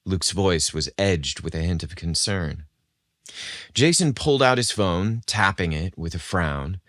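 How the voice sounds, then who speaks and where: Male narrator, scripted dramatic storytelling, dry close sound, slow pace. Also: deep voice, animated, a bit bright, dry recording.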